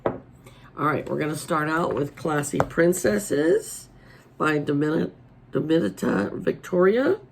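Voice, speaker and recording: A raspy-voiced middle-aged woman hosting a casual show-and-tell, chatty and homey, filmed at her table at home. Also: sits low, expressive, slow pace, background noise. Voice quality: raspy today. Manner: chatty and homey, many ums. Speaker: coloring channel host. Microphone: overhead camera over the table.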